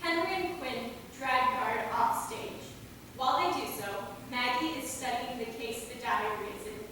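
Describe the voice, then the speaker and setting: Lady reading stage directions, slightly high voice, roomy theater echo, noisy recording. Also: moderate pace, pushed and bright. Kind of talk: scripted narration at a staged reading. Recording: echoey hall from far off, clear noise.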